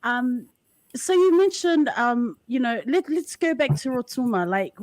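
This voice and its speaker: Woman host, higher voice, dry close sound, enthusiastic, casual. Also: animated, a little slow, darkish tone, dry recording, low-quality recording. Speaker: podcast host, educator. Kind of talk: interview host chat.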